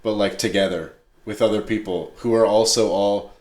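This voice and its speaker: A man's voice: on the low side, fairly even intonation, moderate pace, darkish tone. A man hosting a podcast in a casual, laid-back chat, talking in slangy everyday English. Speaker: podcast host.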